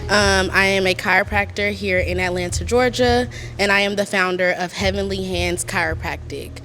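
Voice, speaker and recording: A young woman chiropractor, speaking earnestly on a panel with frequent pauses. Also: medium pitch, steady tone, sharp tone, faint music behind. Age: young adult. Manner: earnest, filler-heavy, deliberate. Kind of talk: panel talk.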